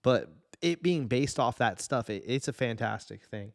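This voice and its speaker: Male podcast host, middle pitch, informal and sweary, dry close sound. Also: expressive, moderate pace, creaky, no echo. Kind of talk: casual chat about video game adaptations.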